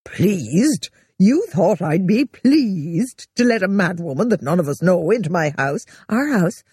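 A woman's voice: lowish voice, big pitch swings, a little slow, warm tone, slightly rough, no echo, studio quality, full character voice. A woman voicing an exasperated, defensive middle-aged man who sneers and blusters. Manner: sneering, indignant.

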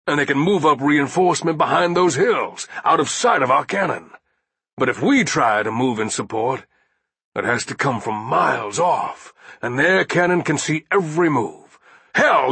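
A man's voice: fairly high pitch, vocal fry, slightly rough, no echo, pitched above the performer's own voice, heavily acted. Man playing a grave, insistent army general. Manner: forceful, insistent, plain-spoken. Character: Longstreet, grave, urgent male general, blunt and despairing. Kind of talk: audiobook war novel character.

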